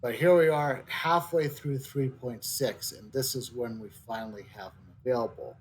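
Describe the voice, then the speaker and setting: A man giving casual game commentary as a streamer, thinking aloud in a halting way. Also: medium pitch, a little hiss or hum, poor audio.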